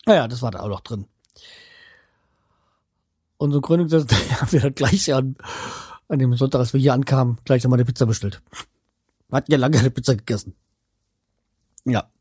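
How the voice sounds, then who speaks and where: A man's voice: medium pitch, normal speed, pauses often, a little mellow, slightly rough, no echo, clean studio sound. A man from the Hanau area, chatting casually to his viewers at home in a rambling way, trailing off and restarting with many fillers. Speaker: vlogger. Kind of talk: casual chat to camera.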